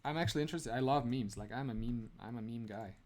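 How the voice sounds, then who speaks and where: A young man from Romania hosts a casual live stream, answering viewers' comments as they come in. He chats loosely, scatters "like" through his sentences and breaks into laughter often. His voice sits at an ordinary middle pitch for a man. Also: quick pace, a little mellow. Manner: chatty, laughs often, many "like" fillers.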